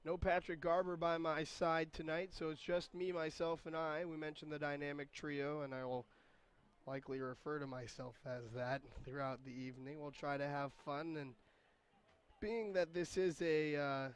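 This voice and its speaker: A man with a raspy, hoarse voice doing solo play-by-play commentary of a soccer match, chatty and quick to correct himself. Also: a little high, steady tone. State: hoarse voice recovering. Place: outdoor stadium.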